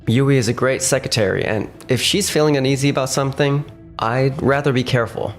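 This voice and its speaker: Guy playing a calm careful young boss in a manga dub, medium pitch, composed delivery. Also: natural voice. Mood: measured. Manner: measured, composed. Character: level-headed, cautious young male company boss and narrator. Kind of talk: manga dub dialogue and first-person narration. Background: faint background music.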